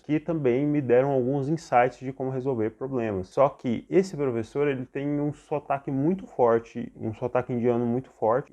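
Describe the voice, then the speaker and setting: A man hosting his channel, giving a casual first-person review of an online course in an unhurried, explanatory way, often tagging sentences with "né". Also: mid-range voice. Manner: explanatory, unhurried, frequent "né" tag questions. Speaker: channel host, data architect. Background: soft guitar background music.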